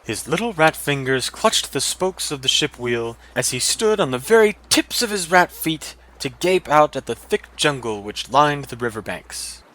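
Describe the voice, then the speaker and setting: A man's voice: on the high side, sing-song, moderate pace, few pauses, pushed and bright, low-quality recording. A man reading a scripted fantasy adventure story as a dramatic narrator.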